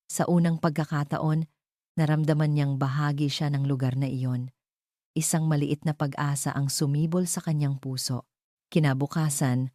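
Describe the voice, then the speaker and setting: A woman's voice: low-pitched, dark tone, no room sound, clean studio sound. A woman with a Filipino accent reading a scripted dramatic story as narrator.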